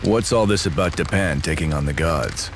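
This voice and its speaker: An actor playing a gruff, blunt mercenary in a video game, with an unimpressed manner. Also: male voice, very deep voice, moderate pace, background noise. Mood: blunt, curious.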